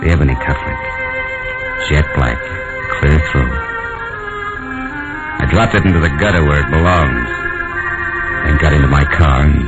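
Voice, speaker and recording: Very deep-voiced hard-boiled radio detective. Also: male voice, moderate pace, long pauses. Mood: weary, brooding. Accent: American. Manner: terse, deadpan, tough-talking. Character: hard-boiled male private detective, wry and world-weary. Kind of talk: 1940s radio detective drama, first-person narration and dialogue. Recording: dull old radio recording with music.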